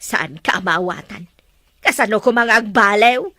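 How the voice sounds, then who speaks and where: Woman acting scolding mother, bright dry voice. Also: medium pitch, lively intonation, moderate pace, no room sound. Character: protective, disapproving mother of a married daughter. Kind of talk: Ilocano radio-style drama acting.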